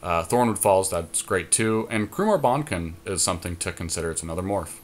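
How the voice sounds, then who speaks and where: Male podcast co-host, low-pitched, conversational, talking through game decisions aloud in a casual commentary style. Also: animated, brisk.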